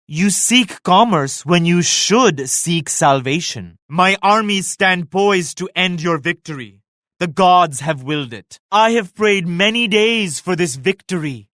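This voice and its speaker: An actor playing a proud, pious emperor in a video game, speaking in a solemn, formal, measured way like an orator. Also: male voice, sits high, fairly bright tone, dry recording, studio quality. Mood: pious, resolute. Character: proud, pious emperor, stately and morally stern. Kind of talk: scripted video game voice acting.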